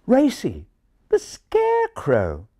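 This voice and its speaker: A man's voice: mid-range voice, wildly swooping pitch, a little slow, mellow, husky, no echo, clean studio sound, pitched above the performer's own voice, full character voice. A man voicing a proud English pigeon in a storybook, with a refined English accent and a proper, condescending manner. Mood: conspiratorial, earnest.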